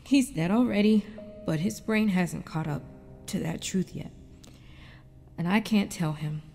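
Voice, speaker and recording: A woman's voice: fairly low pitch, unhurried, vocal fry, dark tone, faint music behind. A woman playing an anguished but determined wife and mother in an audio drama, narrating in a confiding, reflective way. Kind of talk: first-person dramatic narration.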